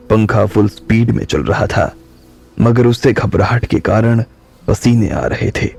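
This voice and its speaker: A man narrating a drama audiobook in steady storytelling. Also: fairly low pitch, creaky.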